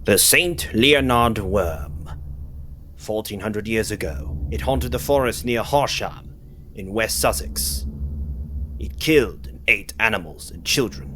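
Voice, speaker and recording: A man playing a solemn, secretive storyteller in a comic dub, speaking in a grave, formal, measured way. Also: lowish voice, animated, fairly bright tone, a little hiss or hum. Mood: grave storytelling. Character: solemn, secretive elder recounting an old dragon legend.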